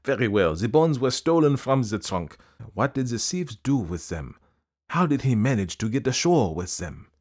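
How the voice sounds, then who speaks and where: A man voicing a fussy, precise male detective who asks polite, probing questions in a deliberate way. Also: medium pitch, big pitch swings, a little mellow, voice pushed up.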